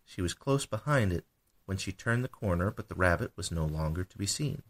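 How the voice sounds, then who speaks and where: A man's voice: sits low, expressive, brisk. A man reading a children's bedtime story as a scripted narration.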